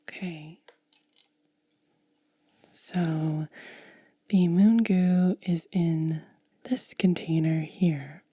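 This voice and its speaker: A woman whispering in soft-spoken ASMR style, gently and unhurriedly explaining each step close to the microphone. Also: fairly low pitch, steady tone, drawn-out words, long pauses, no echo. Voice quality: breathy whisper. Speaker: ASMR content creator.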